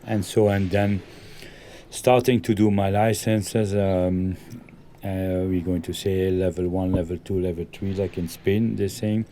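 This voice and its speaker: A man from Belgium, a football coach, answering interview questions in halting full of ums and loose grammar. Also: low-pitched, little pitch movement, mellow, a little hiss or hum.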